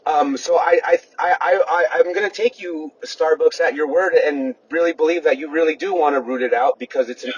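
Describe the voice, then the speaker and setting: A man with a slightly raised, husky voice makes an earnest case over the phone as an activist caller. He speaks haltingly, correcting himself as he builds his argument. The recording is a little dull and lo-fi. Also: fairly high pitch. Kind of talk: persuasive phone advocacy.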